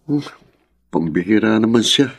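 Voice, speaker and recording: A man's voice: lowish voice, animated, moderate pace, creaky, breathy edge, full character voice. An actor playing a concerned, helpful young male friend in a Filipino radio drama.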